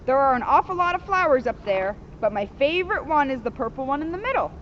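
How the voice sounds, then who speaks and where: A woman's voice: high-pitched, very animated, quick pace, dull audio, slight background noise. A woman voicing an easygoing, kindly grandfather in a children's storybook, upbeat and emphatic.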